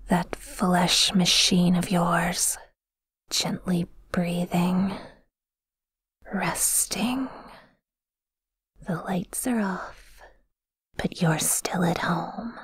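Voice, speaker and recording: A possessive female demon, acted by a woman whispers in a very breathy voice, close and dry. She draws her words out very slowly, taunting and intimate, in a menacing ASMR roleplay style. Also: lowish voice, fairly even intonation, a bit bright, dry recording, exaggerated voice. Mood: sinister, hushed. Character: possessive, menacing, teasing female yandere sleep paralysis demon.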